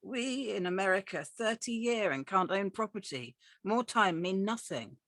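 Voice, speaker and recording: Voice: mid-range voice, lively intonation, normal speed, a bit bright. A performer playing a stern, proud, middle-aged Japanese immigrant father who grumbles in clipped broken English at a script reading. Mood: bitter, defiant. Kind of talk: script table read.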